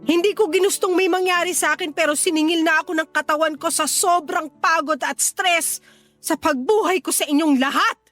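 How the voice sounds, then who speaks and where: An ailing, indignant Filipino mother in a radio drama. Her voice is extremely high, pleading and full of reproach. Soft music plays faintly underneath. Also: a little fast. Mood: hurt, pleading. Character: hurt, indignant ailing wife and mother, middle-aged. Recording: faint music bed behind voice.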